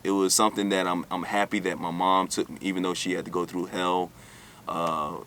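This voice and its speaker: Low-voiced man telling his life story. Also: level tone, moderate pace, fairly bright tone, a little hiss or hum. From United States, born in New York, raised in Alabama. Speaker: interview guest, author. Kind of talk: candid personal storytelling in an interview.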